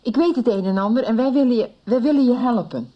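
A woman's voice: a little high, quick pace, dull audio, close to an everyday voice. An actress in a 1990s radio soap playing an anxious, caring woman. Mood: urgent. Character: anxious, caring woman urging a caller to talk.

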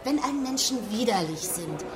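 A woman's voice: fairly high pitch, animated, normal speed, close to an everyday voice. An actress playing a cheeky young woman in a radio drama, teasing and provoking with mock innocence. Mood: mock-innocent, provoking. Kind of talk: German radio drama acting. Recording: busy pub crowd behind.

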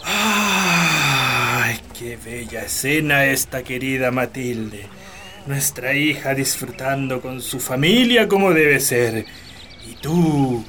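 Slow, florid gentleman landowner. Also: mid-range voice, animated. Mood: effusive. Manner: florid, courtly. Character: jovial, flowery middle-aged gentleman landowner, fond husband and father. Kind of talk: radio drama acting.

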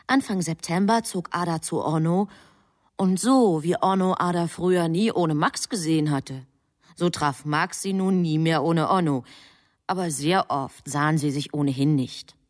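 Narrating angel, low-pitched, measured and polite, explaining patiently to a superior. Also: female voice. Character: composed angel reporting to an impatient superior. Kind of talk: scripted radio drama narration.

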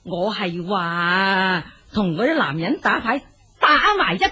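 Huffy hong kong cantonese housewife, gossipy, voice up and down, radio play. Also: female voice, medium pitch, lively intonation, takes it slowly, pushed and bright. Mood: indignant. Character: indignant gossiping housewife, quick to blame others. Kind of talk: Cantonese radio drama acting.

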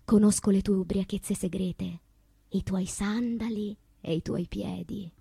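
An actress playing a sensual, unashamed woman poet in a deliberate, intimate theatrical monologue about her inner life. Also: mid-range voice, steady tone, vocal fry, warm tone, breathy edge, no room sound, heavily acted. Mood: intimate, frank. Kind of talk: theatrical literary monologue with sung passages.

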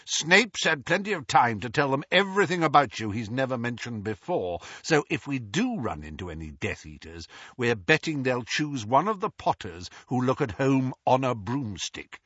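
A man voicing a gruff, suspicious old male wizard who barks orders in a growl, on a slightly dull recording. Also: mid-range voice, big pitch swings, normal speed, hardly any pauses, fairly bright tone, no echo. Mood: gruff commanding.

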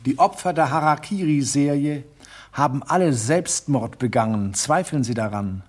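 An actor playing a smooth, coolly menacing art collector who murders, in a radio drama. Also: male voice, mid-range voice, normal speed, close to an everyday voice. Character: suave murderous male art collector, villain.

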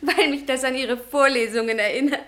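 A young female student in an old radio drama, with a slightly high voice. She is polite, with a cheeky, teasing streak. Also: fairly quick, fairly bright tone. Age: young adult. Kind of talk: 1950s radio play acting.

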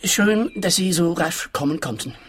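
An actor with a husky, high voice and a Swiss accent plays a fussy, shifty forest owner in a comic radio play. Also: male voice, lively intonation, normal speed. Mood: fussy, impatient. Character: fussy, shifty male forest owner, small-time schemer. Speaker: client character. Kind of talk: comic radio detective drama.

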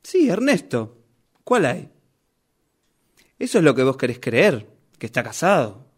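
A man's voice: medium pitch, very animated, measured pace, husky. Indignant Argentine man, acting a rebellious fictional character. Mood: insistent. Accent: Argentine Rioplatense. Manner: argumentative, reproachful. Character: indignant, defiant male novel character confronting his author. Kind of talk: radio play rehearsal acting.

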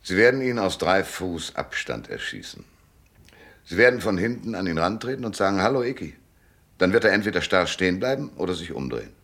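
An actor in a German radio drama playing a world-weary, cynical private detective with a laconic, knowing manner and a deep, gravelly voice. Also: male voice, very animated, fairly quick, a bit bright, full character voice. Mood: cool, grim.

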